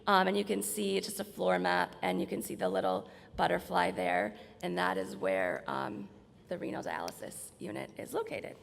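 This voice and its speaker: Lady giving a slide talk, lowish kinda hoarse voice, super creaky, explaining stuff conversationally. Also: very animated, moderate pace, slight background noise. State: slightly hoarse, losing her voice. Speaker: presenter from hospital staff. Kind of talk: informal slide presentation at a conference. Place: large indoor presentation room. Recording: reverberant hall.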